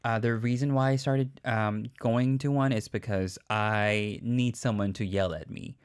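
A woman's voice: very deep voice, fairly even intonation, darkish tone, a little hiss or hum. A woman in casual studio chat, telling a story with many fillers and restarts. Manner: rambling, halting, many "like" fillers.

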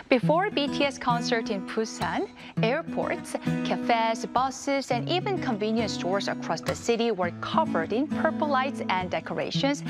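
A woman reading a scripted news feature in a polished, even way. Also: on the high side. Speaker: news presenter. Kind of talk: scripted narration. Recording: voice-over with loud music bed.

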